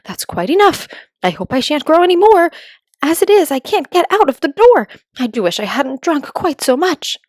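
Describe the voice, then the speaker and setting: Lady reading as a curious prim little storybook girl, highish voice, talking things over with herself. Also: sing-song, normal speed, no echo. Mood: worried. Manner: musing aloud to herself. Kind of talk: children's storybook read-aloud.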